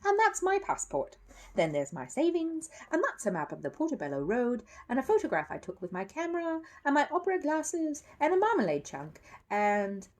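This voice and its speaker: A woman's voice: sits high, wildly swooping pitch, moderate pace, pitched above the performer's own voice. A woman voicing a polite, earnest young male bear from a storybook in a matter-of-fact, firm manner. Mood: firm, proud.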